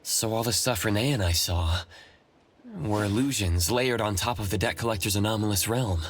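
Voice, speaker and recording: A man's voice: fairly low pitch, normal speed, a little hiss or hum, natural voice. Man playing an earnest young game investigator. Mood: thoughtful. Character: earnest young male anomaly investigator, caring teammate. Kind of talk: anime-style game voice acting. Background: game music.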